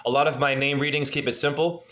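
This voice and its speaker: A man's voice: mid-range voice, fairly even intonation, fast talker, a bit bright. A man in his forties giving a freewheeling, earnest numerology reading over a video call from a dim room at home. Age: 40s. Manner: freewheeling, earnest, asks probing questions. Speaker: spiritual numerology reader. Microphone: webcam on a video call.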